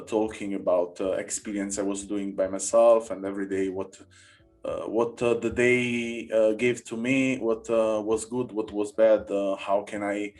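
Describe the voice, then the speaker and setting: A man from Italy with an Italian accent, hosting a casual podcast interview and searching for his words in halting, non-native English. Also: fairly low pitch, a little mellow. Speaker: podcast host.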